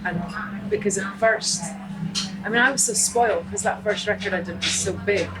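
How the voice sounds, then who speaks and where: A woman from Scotland with a Scottish accent, a musician guest chatting in an animated way and laughing easily. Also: medium pitch, normal speed, over loud music, poor audio. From Scotland (St Andrews). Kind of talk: casual chat. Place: busy public spot in Los Angeles. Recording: loud crowd chatter behind.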